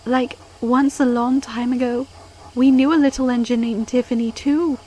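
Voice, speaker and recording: A woman's voice: on the high side, fairly even intonation, normal speed, a little mellow, a little hiss or hum, natural voice. A woman playing a caring female rescue crane in an audio drama. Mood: grave, hesitant.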